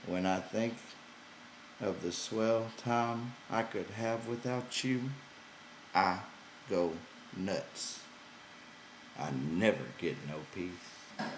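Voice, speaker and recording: Audiobook role: man doing gruff irritable drifter farmhand, slow folksy delivery, rough grammar, roomy recording. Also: fairly low pitch, a little hiss or hum. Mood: exasperated. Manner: gruff, exasperated, folksy ungrammatical speech. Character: gruff, weary, irritable male ranch hand looking after companion.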